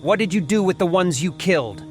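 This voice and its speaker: A man's voice: high voice, animated, brisk, soft background music. A man voicing a terse, authoritative army sergeant in an audio comic drama. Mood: probing, measured. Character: gruff, commanding army sergeant leading survivors. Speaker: voice actor.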